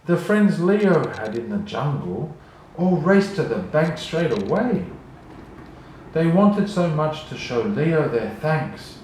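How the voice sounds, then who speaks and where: A man storyteller giving an expressive children's read-along narration. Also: fairly high pitch, slow. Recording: very echoey room, faint noise.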